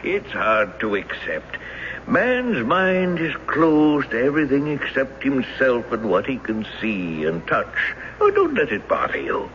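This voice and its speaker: A calm ghost in an old radio play, expressive pitch, kinda dull muffled sound. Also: male voice, measured pace. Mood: calm, assured. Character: calm, atoning male ghost of a former coworker. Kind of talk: old-time radio drama acting. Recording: muffled noisy radio drama recording.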